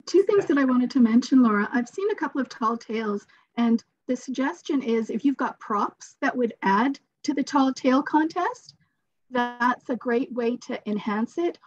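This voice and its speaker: A woman's voice: on the high side, expressive, normal speed, dry recording. A woman in her forties giving informal advice as a participant in an online workshop. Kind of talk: informal advice on a video call. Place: plain room, indoors. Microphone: video call mic. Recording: dull, dry video-call audio.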